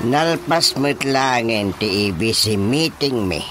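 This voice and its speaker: Bright-voiced, slow, cranky old villager. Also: male voice, mid-range voice, lively intonation, faint music behind. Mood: muttering. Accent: Filipino. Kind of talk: Filipino comedy radio drama acting.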